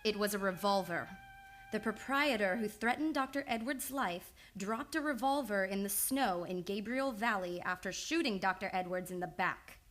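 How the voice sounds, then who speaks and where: A woman playing a shrewd young psychoanalyst in an old-time radio drama, speaking in a measured, assured, matter-of-fact way. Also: mid-range voice, fairly bright tone, soft background music, lightly acted. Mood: accusing. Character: composed, shrewd young female psychoanalyst confronting a killer.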